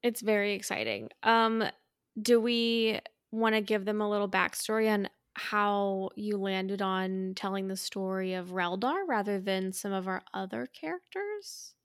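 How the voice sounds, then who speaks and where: Female podcast co-host, mid-pitched, chatty and enthusiastic, casual, very dry sound. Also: a little slow, smooth, no echo. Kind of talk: casual chat.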